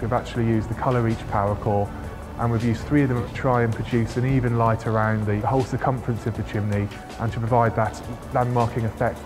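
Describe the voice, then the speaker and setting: A man, explaining a project in an interview as a consultant. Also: mid-range voice. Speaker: lighting consultant. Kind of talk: interview explanation for a case study video. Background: music.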